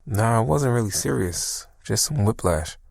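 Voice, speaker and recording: A man's voice: on the low side, expressive, normal speed, gravelly, darkish tone. An American man playing a subdued military veteran in an acted interview, with an understated, hesitant delivery. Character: subdued male military veteran, thirties or older, recalling trauma. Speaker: voice actor in a training simulation. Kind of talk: acted clinical interview testimony.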